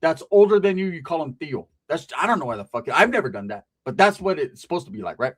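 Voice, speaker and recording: A man's voice: on the high side, big pitch swings, quick pace. A man telling a rambling, profane comic story about family, tagging his lines with "right?".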